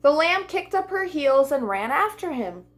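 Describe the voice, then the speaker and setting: High-pitched, animated woman reading a storybook. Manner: animated, deliberate delivery for young listeners. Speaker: storytime host reading a children's book aloud. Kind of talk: expressive children's storybook narration.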